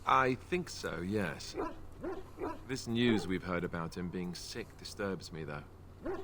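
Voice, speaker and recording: A grave nobleman speaks in a very deep, measured voice. A clear hiss runs beneath this video game audio.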